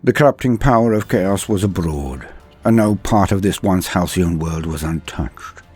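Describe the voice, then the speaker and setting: Low husky guy doing dramatic story narration, slow weighty pauses, music behind him. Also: a little mellow. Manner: theatrical, measured, with weighty pauses. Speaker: storyteller and channel host. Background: background music.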